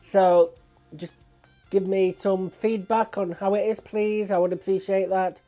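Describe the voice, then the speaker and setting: A woman's voice: mid-range voice, fairly even intonation, measured pace, mellow, faint music behind. A woman hosting a reaction video with a blocked nose, chatting casually and apologetically to viewers. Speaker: reaction channel host.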